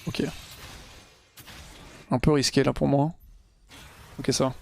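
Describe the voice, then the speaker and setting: A man's voice: mid-range voice, little pitch movement, takes it slowly, vocal fry, warm tone. A young man casually commenting live on his own gameplay as a streamer, thinking aloud in slangy talk sprinkled with English gamer terms, into a gaming headset.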